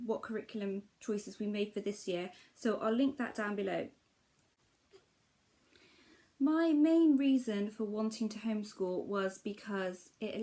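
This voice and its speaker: A woman's voice: mid-range voice, darkish tone. Chatty mother vlogging. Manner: chatty and explanatory, frequent ums. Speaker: homeschooling mother. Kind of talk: casual vlog talk to camera.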